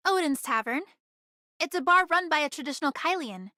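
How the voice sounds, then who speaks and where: A woman voicing a bold, mischievous young girl in an anime video game, with a perky, expressive delivery. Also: high-pitched, fairly quick, no room sound, studio quality. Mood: serious, explaining.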